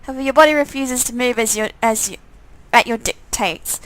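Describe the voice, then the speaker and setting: A woman's voice: a little high, brisk, fairly bright tone, poor audio. A woman reading a visual novel game aloud in dramatic narration, breaking off for casual, off-the-cuff comments.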